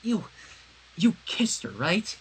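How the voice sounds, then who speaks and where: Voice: medium pitch, big pitch swings, slow, a bit bright, slight background noise, rough recording. A fan fiction reader voicing a jealous, hurt, lovesick young student girl, pleading and indignant. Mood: hurt, accusing.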